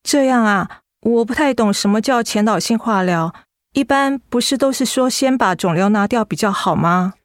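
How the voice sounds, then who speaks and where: An actress playing a caring, anxious young daughter in a radio drama, speaking earnestly and solicitously. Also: female voice, a little high, lively intonation, normal speed, no echo, clean studio sound, close to an everyday voice. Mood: worried, earnest. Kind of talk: Mandarin radio drama acting.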